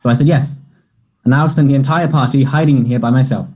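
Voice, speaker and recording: Anxious young man, husky and soft-toned an actor reading. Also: medium pitch, steady tone, natural voice. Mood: distressed, ashamed. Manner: hesitant, halting delivery. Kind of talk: play table read.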